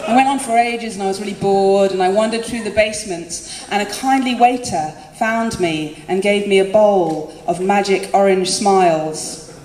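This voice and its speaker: A woman's voice: medium pitch, moderate pace, darkish tone. A woman performing spoken word live, chatty and self-deprecating, often laughing between lines. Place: live concert venue. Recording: echoey hall with faint room noise.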